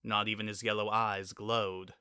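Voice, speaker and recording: A man narrating a scripted story in a dramatic, expressive and deliberate way. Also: on the low side, clear, resonant tone, dry recording, clean studio sound.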